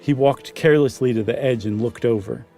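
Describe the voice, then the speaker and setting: A man's voice: mid-range voice, brisk, warm tone, faint music behind. A man co-hosting a podcast, narrating a spooky story and chatting casually. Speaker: podcast host.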